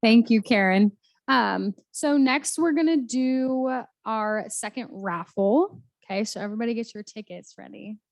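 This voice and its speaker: Woman, panel host, higher-pitched voice, dry sound, running the event from the front. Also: measured pace, gravelly, dry recording. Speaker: event moderator. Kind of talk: hosting a panel and raffle.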